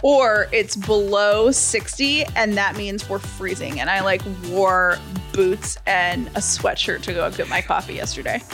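A woman's voice: medium pitch, a little slow, hardly any pauses, fairly bright tone, soft background music. A woman chatting casually as a podcast co-host. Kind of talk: casual chat. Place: Atlanta.

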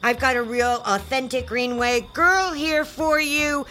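A creepy unhinged aunt for a kids audio drama, high bright voice, fake sweet and menacing. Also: female voice, measured pace, faint music behind. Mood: wheedling, sinister. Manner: wheedling, falsely sweet, turning threatening. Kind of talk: audio fiction acting for children.

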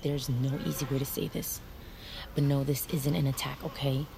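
Low young woman recruit, quietly confronting, audio drama, clearly noisy surroundings. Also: level tone, normal speed. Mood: hushed, insistent. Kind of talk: naturalistic audio drama acting. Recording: noisy ambience around the voice.

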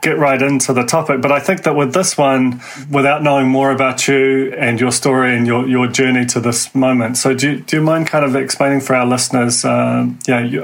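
A man with a New Zealand accent hosting an interview podcast, talking in halting bursts with many restarts. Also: medium pitch, gravelly. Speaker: podcast host.

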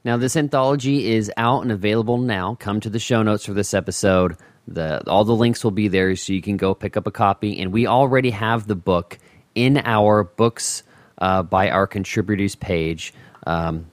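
A man's voice: on the low side, normal speed, dry recording. A man hosting a podcast, chatting casually as he interviews his guests. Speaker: podcast host.

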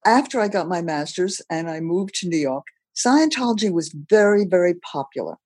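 An older woman from Belgium, recounting her life story as a podcast guest in a measured, plain-spoken way. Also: on the low side, animated, a little mellow, slightly rough, no echo, studio quality. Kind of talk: personal storytelling in a podcast interview.

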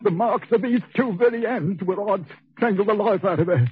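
Husky, high-voiced Cockney convict. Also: male voice, moderate pace, dry recording. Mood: anguished confessing. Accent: Cockney English. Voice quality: husky, rough. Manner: slangy, chatty, needling. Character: coarse, hot-tempered, sickly working-class male convict. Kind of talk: 1940s radio acting. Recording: muffled phone-like 1940s radio recording.

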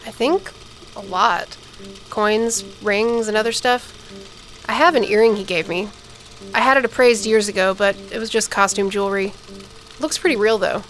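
A woman's voice: medium pitch, moderate pace, a bit bright, soft background music, lightly acted. A woman acting as a candid, teasing older sister in an audio drama, talking in a natural, blunt way. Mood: thoughtful. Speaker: actor.

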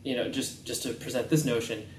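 A male interviewer chats casually and winds through long, meandering questions. His voice is mid-pitched, and the tattoo shop around him gives it a roomy echo. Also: steady tone, brisk, slight background noise. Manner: rambling, chatty questions. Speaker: interviewer, podcast host. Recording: echoey room.

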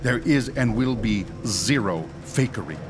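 A man's voice: medium pitch, lively intonation, unhurried, lightly acted. A man playing a dignified, pedantic doctor leading ghost hunters in a radio drama, speaking formally and precisely. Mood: stiffly indignant. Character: dignified, pedantic male ghost-hunting doctor and team leader. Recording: music behind the voice.

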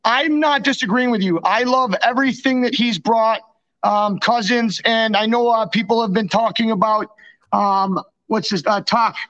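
Very high-voiced man, slightly dull audio. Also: steady tone, a bit bright. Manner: halting, repeats words, agreeable. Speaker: sports podcast co-host. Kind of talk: casual hockey chat.

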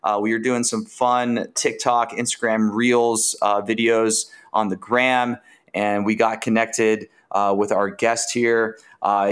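A man's voice: lowish voice, fairly bright tone, clear, resonant tone. A man hosting an interview podcast, upbeat and casual, with frequent "uh" fillers. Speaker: podcast host.

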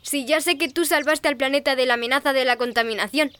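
A curious young granddaughter in an audio drama, with a very high voice and a dry, close sound. She is eager and admiring, full of questions. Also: fast, a bit bright, no room sound. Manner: eager, inquisitive. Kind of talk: scripted audio fiction acting.

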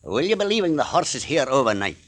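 A man's voice: medium pitch, very animated, brisk, fairly bright tone. An actor playing a sly old male stable-keeper who lures men into a stall, in a 1940s radio drama, with a folksy drawl that hides menace. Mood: gruffly polite. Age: older.

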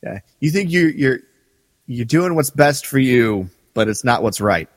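Male podcast co-host: conversational, halting explainer, mid-range voice, some long reverb. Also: medium pitch, lively intonation, studio quality. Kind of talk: casual discussion of a radio drama.

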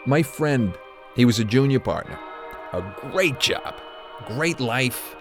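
An actor playing an older, guilt-ridden retired corporate executive who confides haltingly, as in an audio drama interview. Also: male voice, medium pitch, lively intonation, over music. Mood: grim, reflective. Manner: hesitant, confessional, halting.

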